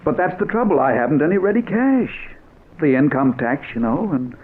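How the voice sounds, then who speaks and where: A man's voice: on the high side, very animated, fairly quick. An actor playing a wealthy, evasive businessman in an old-time radio drama, hesitant, polite and falsely regretful. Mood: apologetic excuses. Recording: narrow, noisy vintage radio recording.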